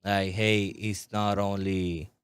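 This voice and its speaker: A man's voice: fairly low pitch, little pitch movement, slow, smooth, no echo. A young man from Puerto Rico, an army recruiter, chatting eagerly as an interview guest with loose tag questions. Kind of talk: casual interview.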